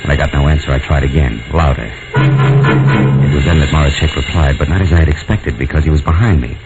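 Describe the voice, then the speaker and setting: An actor playing a tough, wisecracking American private detective in a 1940s style radio drama, brisk and sardonic. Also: male voice, rumbling low, over loud music. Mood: wry narration. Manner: brisk, sardonic, hard-boiled. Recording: dull, noisy old radio recording.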